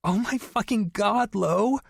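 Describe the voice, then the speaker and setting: A man voicing an excitable young woman best friend who squeals and gushes over video chat in an audiobook. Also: unhurried, gravelly, slightly rough, dry recording, clean studio sound, exaggerated voice. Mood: gushing. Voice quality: shrill, squealing. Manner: bubbly, breathless gushing. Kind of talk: audiobook character voice.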